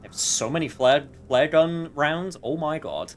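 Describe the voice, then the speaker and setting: A man's voice: on the high side, unhurried, a little hiss or hum. A man doing casual let's play commentary, thinking aloud and reacting wryly.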